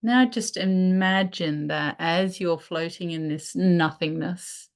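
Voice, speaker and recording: Low-pitched woman guiding a meditation. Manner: soothing, unhurried instruction with pauses. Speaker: meditation guide. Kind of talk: guided meditation.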